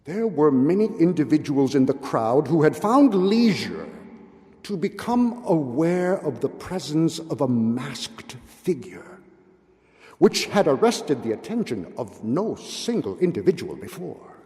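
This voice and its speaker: A man giving a dramatic, theatrical reading of gothic horror, with drawn-out words, pauses and hushed drops. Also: a little high, sing-song. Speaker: literary reader. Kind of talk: dramatic literary recitation of a gothic horror tale. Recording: long hall-like reverb.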